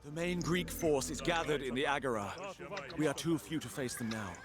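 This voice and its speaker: An actor playing a commanding, vengeful Persian general in a video game, declaiming and barking urgent orders. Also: male voice, fairly high pitch, normal speed, lightly acted. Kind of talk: dramatic video game voice acting. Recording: dramatic music behind the voice.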